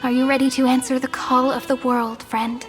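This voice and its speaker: Casting: a woman voicing a gentle game prophetess, soft and reverent, somewhat high, with score underneath. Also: level tone, moderate pace, natural voice. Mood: solemn. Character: gentle wistful young woman prophesying a new world. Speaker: game character. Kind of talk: game cutscene voice acting. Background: orchestral music.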